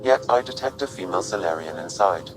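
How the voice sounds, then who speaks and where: A precise AI assistant for a sci-fi game. The voice is low and even, calmly clinical, set over clear music. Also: male voice, a little fast, poor audio. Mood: dispassionate. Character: calm, precise male artificial intelligence assistant, dispassionate. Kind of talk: cinematic sci-fi game acting. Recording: music behind the voice.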